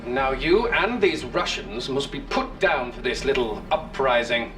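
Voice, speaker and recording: An actor playing a genteel, condescending commander with a British accent in a video game, speaking with breezy politeness. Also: male voice, mid-range voice, animated, measured pace, far from the mic, over music, natural voice, poor audio. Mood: polite condescension. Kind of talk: video game cutscene villainy.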